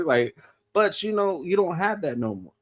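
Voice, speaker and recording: A man's voice: on the high side, animated, slow pace, fairly bright tone, slight background noise. A man in his thirties hosting a podcast, talking loosely and profanely and laughing often. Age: 30s. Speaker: podcast host. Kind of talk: casual podcast chat.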